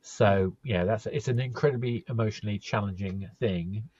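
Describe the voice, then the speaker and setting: A man hosting a podcast interview, talking in a thoughtful, halting way and often repeating words as he starts a sentence. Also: fairly low pitch, a little mellow, slightly rough, no echo, lo-fi sound. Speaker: podcast host. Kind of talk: casual conversational interview.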